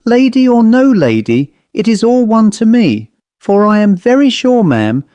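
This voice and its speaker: A man's voice: fairly high pitch, a little mellow, no room sound, voice pushed up. A man voicing a tearful, fretful young maid who pleads and keeps repeating "ma'am". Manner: pleading, breathless repetition of ma'am.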